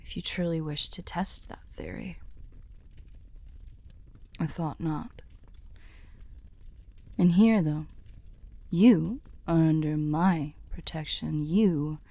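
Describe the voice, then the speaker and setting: A woman playing a teasing, sly demon in a scripted ASMR roleplay, speaking formally in soft, breathy half-whispers close to the microphone. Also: low-pitched, slow, pauses often, no echo, heavily acted. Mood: possessive, menacing. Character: teasing, sly female demon offering a soul contract.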